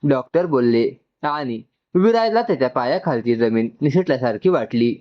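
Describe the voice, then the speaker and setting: A male narrator reads a scripted, emotional story. His voice is mid-pitched, and the recording is slightly dull and lo-fi. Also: lively intonation, moderate pace.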